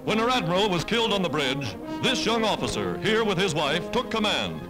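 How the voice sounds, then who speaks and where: Male newsreel narrator, 1940s: high, swooping pitch, declamatory patriotic delivery over a loud score. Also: big pitch swings, fairly quick, rough recording. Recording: vintage 1940s newsreel soundtrack. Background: loud music score.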